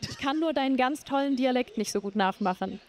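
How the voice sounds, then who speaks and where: A woman from Berlin moderating a live games panel, asking questions in a measured, casual way. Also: sits high, animated, a little mellow.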